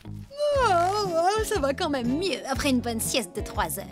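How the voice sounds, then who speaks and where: A wary female cartoon pet. The voice is high and very mobile, hesitant and easily flustered, with music behind. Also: wildly swooping pitch, measured pace, exaggerated voice. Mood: contented. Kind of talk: animated children's cartoon dubbing.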